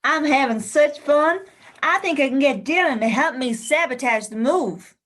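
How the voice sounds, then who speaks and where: A woman's voice: high voice, expressive, moderate pace, fairly bright tone. A woman playing a stubborn, feisty old lady ghost, mischievous and insistent, in a radio play. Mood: playful. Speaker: actor in an amateur radio play.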